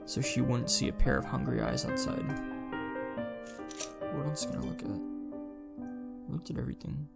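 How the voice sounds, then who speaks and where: Man, heavy vocal fry, lower-middle everyday pitch, game longplay narration, casual asides, prominent music behind. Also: steady tone, moderate pace, long pauses. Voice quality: gravelly, creaky. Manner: casual, swearing reactions. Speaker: gaming streamer doing a longplay. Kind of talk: reading a visual novel aloud with casual asides.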